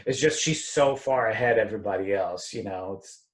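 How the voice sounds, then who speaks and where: A man from Russia hosting a casual interview over a video call, relaxed and full of fillers. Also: fairly low pitch, moderate pace. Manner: relaxed, many "like" fillers, laughs easily. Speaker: podcast host.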